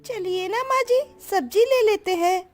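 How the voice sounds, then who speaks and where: A woman's voice: very high voice, unhurried, soft background music, voice pushed up. A woman voicing a cheeky, stubborn young daughter-in-law in a cartoon story, talking in a sweet, girlish, wheedling way. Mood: sweetly stubborn.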